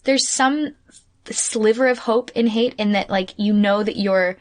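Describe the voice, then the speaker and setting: A woman's voice: mid-range voice, a little slow. A woman co-hosting a podcast, talking through ideas in long, winding, reflective thoughts. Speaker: podcast co-host. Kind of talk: casual chat.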